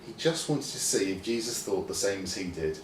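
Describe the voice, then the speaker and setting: A man reading a scripted children's story expressively, with deliberate pauses. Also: low voice, lively intonation. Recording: roomy, distant mic, faint noise.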